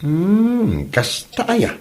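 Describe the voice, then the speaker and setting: A man's voice: high-pitched, huge pitch swings, drawn-out words, a little mellow, exaggerated voice. A man playing an easygoing, helpful working man in a comedy audio drama, casual and agreeable, in Ilocano.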